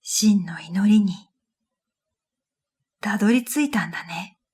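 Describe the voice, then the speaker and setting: A woman narrating a scripted first-person radio drama in a gentle, unhurried way, with breathy phrase endings. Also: mid-range voice. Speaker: narrator and storyteller. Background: new-age music.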